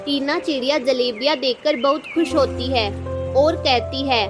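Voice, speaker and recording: High-voiced woman narrating children's stories. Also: normal speed, music in the background. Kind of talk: scripted children's story narration.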